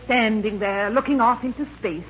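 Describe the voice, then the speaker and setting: An actress playing a gently meddling middle-aged society mother in 1940s radio style. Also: fairly high pitch, normal speed, close to an everyday voice. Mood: cooing, knowing. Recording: dull old radio recording with music.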